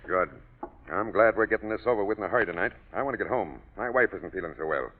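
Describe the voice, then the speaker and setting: An actor in a 1940s radio drama playing an offhand, matter-of-fact prison executioner, on a lo-fi old broadcast. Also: male voice, on the low side, fast, lightly acted. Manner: casual, offhand. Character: matter-of-fact male prison executioner, weary family man.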